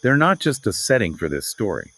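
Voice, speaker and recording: Low, husky, animated male podcast co-host. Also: brisk. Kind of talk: conversational literary discussion.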